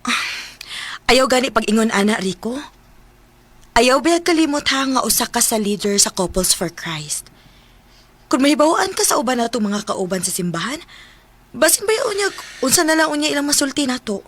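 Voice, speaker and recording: Devout, higher-voiced Cebuano wife. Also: female voice, sing-song, normal speed, pauses often, fairly bright tone, exaggerated voice. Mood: concerned, pleading. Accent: Filipino, Cebuano. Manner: pleading, reassuring. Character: devout, supportive wife consoling her troubled husband. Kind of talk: radio drama acting.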